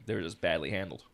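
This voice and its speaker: A man's voice: deep voice, vocal fry, fairly bright tone. A man co-hosting a podcast, chatting casually with his friends. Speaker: podcast co-host. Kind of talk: casual chat.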